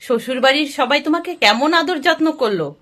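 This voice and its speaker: A woman's voice: sits high, expressive, fairly quick, fairly bright tone. A woman voicing a patient, affectionate mother of a grown son in a moral story.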